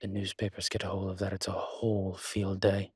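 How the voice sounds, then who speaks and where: A man playing a suave, proud incubus demon in a roleplay audio drama, speaking in a husky voice with wry, articulate, emphatic phrasing. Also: sits low, completely flat delivery, a little fast, a little mellow, no echo, studio quality. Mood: wry, troubled. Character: suave adult male incubus demon, proud, wry, protective of his kind. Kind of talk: roleplay audio drama, intimate conversational monologue.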